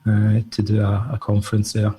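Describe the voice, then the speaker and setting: A man's voice: on the low side, completely flat delivery, normal speed, warm tone. A middle-aged man with a Scottish accent, an author and psychotherapist answering interview questions in an easygoing, rambling way over a video call. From Scotland. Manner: easygoing, rambling with many fillers. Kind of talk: relaxed conversational interview answers.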